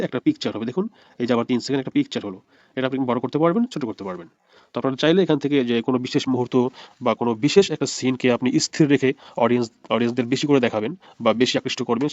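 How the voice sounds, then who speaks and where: Male tutorial narrator, extremely quick delivery, explains step by step, close dry recording. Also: medium pitch, a little mellow, no echo, highs cut off. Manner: explanatory, repeats points step by step. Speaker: video editing instructor.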